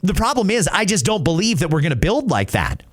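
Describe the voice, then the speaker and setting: A male podcast host with a Canadian accent speaks in a high voice that swings widely in pitch. He is emphatic and outspoken, delivering opinionated commentary straight to listeners. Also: big pitch swings, brisk, breathy edge.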